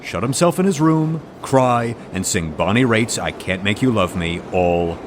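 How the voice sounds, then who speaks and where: A man's voice: on the low side, expressive, unhurried, background noise. An actor playing a goofy, chatty man who is himself an actor in the story. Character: goofy, talkative male actor character. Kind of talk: comedy audio drama acting.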